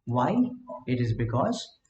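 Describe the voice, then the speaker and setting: A man's voice: mid-range voice, big pitch swings, takes it slowly, a little mellow. Man lecturing in an echoey classroom. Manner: repeats words, asks "right?" often. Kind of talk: classroom lecture, dictating notes slowly. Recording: echoey classroom, dull lo-fi sound.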